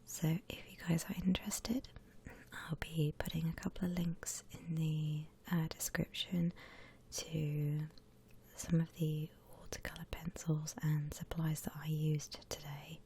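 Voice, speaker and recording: A woman hosts an ASMR drawing tutorial in a breathy whisper. Her voice is soft-edged and low, and she explains each step gently and very slowly. A faint layer of noise sits behind her. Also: little pitch movement. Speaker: host of an ASMR drawing channel.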